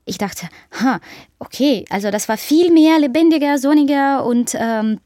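Woman author, Ukrainian accent, Russian native; slightly high pitch, hesitant phrasing, dry studio sound. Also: normal speed, warm tone, no room sound. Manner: thoughtful, hesitant, with frequent fillers. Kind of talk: interview conversation.

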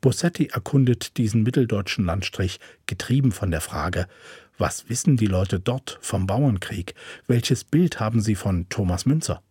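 A man reading a program announcement on the radio in a measured, scripted way. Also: on the low side, lively intonation, warm tone, no room sound, clean studio sound. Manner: smooth, measured read.

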